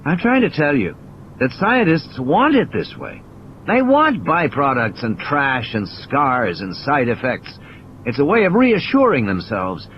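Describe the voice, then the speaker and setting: A man's voice: mid-range voice, wildly swooping pitch, a little slow, dull audio, slight background noise. A man playing an injured, cynical and irritable scientist in an audio play, ranting emphatically in philosophical, insistent repetitions. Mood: bitter, weary.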